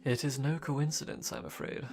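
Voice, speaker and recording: A man's voice: fairly high pitch, level tone, normal speed, music in the background, close to an everyday voice. A man playing a suave, teasing and devoted guardian demon with a menacing edge in an audio drama. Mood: grave, simmering.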